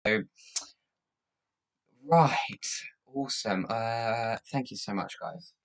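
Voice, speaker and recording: Low-voiced young man hosting a livestream. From Latvia. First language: Russian. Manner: rambling, halting. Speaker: livestream host and youth charity campaigner. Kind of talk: casual livestream chat, thanking viewers.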